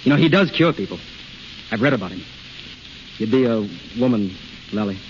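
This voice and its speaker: An actor playing a gentle, devoted gentleman friend, soft-spoken and earnest, in 1930s radio style. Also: lowish voice, lively intonation, normal speed, lightly acted. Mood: earnest, hopeful. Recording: muffled 1930s radio, faint static.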